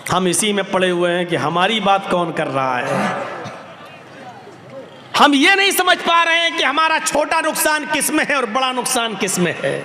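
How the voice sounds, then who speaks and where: A man's voice: very high-pitched, very animated, moderate pace. A man preaching an impassioned public sermon in an oratorical way, with emphatic repetition and rhetorical questions. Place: large public gathering, over a PA system. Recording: echoey loudspeaker hall, crowd behind.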